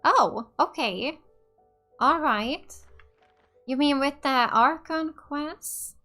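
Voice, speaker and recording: A woman's voice: sits high, extremely slow, pushed and bright. A woman from Finland, giving casual gameplay commentary as a let's play host. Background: game music.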